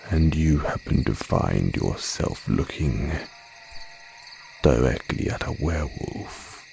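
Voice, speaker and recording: A man voicing a haughty, courteous werebear with predatory charm, in an audio roleplay, speaking in a drawn-out, genteel, condescending way. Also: very deep voice, creaky, a little mellow, a little hiss or hum, heavily acted. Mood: sympathetic, probing. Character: smooth, haughty male werebear, courteous yet predatory flirt. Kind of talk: audio roleplay monologue addressed to listener.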